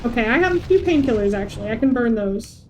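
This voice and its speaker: A woman's voice: a little high, expressive, fast, a little mellow, poor audio. A woman game streamer, a non-native English speaker, chatting casually and commenting as she plays. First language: not English. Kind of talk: casual livestream commentary and chat. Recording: faint background noise.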